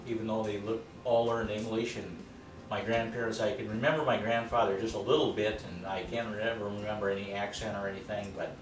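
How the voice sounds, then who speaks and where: An older man telling plainly, in a rambling way with many pauses, about his family's past. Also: fairly low pitch. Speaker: storyteller. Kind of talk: personal storytelling. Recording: echoey room, far from mic, music behind.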